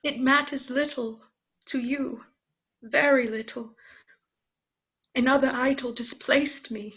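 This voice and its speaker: A ghostly guide who becomes a young woman breaking off an engagement, gently reproachful and calm. Her voice sits high and moves slowly. The recording sounds a little dull and lo-fi. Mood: sorrowful. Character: Christmas past spirit who speaks as Belle, young woman, calm and sorrowful. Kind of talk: radio play acting.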